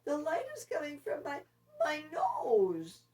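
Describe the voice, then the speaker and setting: Lady playing a shy little boy reindeer in a storybook, higher voice, earnest, kinda distant recording. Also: huge pitch swings, takes it slowly, a little mellow, echoey room, heavily acted. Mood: timid, trembling. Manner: earnest, hesitant. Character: shy young male reindeer, humble and eager to help. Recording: distant microphone.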